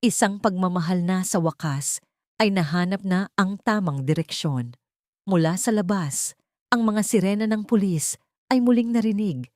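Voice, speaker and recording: A woman's voice: medium pitch, moderate pace, darkish tone, no echo, clean studio sound. A woman narrating a scripted dramatic audiobook story.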